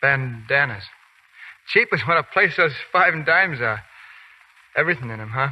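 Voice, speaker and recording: An actor in a 1940s radio play voicing a brash young soldier who talks tough and slangy. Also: male voice, medium pitch, animated, normal speed, dull audio, slight background noise, lightly acted. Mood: tender. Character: brash young male soldier called Kansas, tough and cocky.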